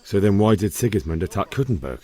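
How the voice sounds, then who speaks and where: An actor playing an earnest, inquisitive young blacksmith's son in a medieval video game. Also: male voice, sits low, lively intonation, fast talker, a little mellow. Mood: earnest, curious. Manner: questioning, earnest. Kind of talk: video game cutscene acting.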